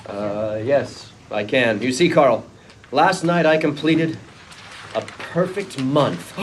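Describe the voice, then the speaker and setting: A smug showy womanizer, bouncy voice, noisy room. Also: slow pace. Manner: lively, playful line reading with sudden high yelps. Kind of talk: script table read.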